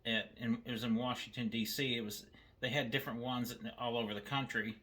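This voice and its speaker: A man's voice: mid-range voice, fairly even intonation, brisk, sharp tone. An older man casually showing his cassette tapes at home, rambling and often repeating himself as he restarts his words. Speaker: video host showing his cassette tape collection. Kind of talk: casual show-and-tell narration. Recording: faint background noise.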